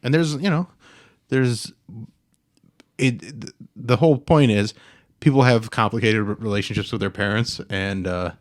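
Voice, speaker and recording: A man hosting a podcast in casual, rambling conversation, telling things in a halting, informal way. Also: fairly low pitch. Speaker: podcast host. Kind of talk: casual chat, rambling storytelling.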